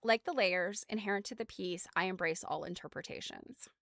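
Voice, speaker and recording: Woman, American accent, lowish pitch, chatty livestream host, informal rambling explanations, dry close sound. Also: moderate pace, a bit bright, dry recording. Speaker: host of a craft show. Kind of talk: casual livestream chat about quilts.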